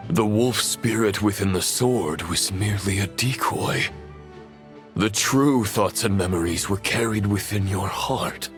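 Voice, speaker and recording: Male actor as ancient game villain: cold, gloating, low and unhurried, grand delivery over music. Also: lightly acted. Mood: realizing. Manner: grandiose, slow, deliberate. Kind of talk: scripted video game acting.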